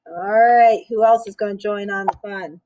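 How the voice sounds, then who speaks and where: A woman reading a picture book aloud for children in a playful, expressive way, with chatty asides. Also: medium pitch, normal speed, no room sound.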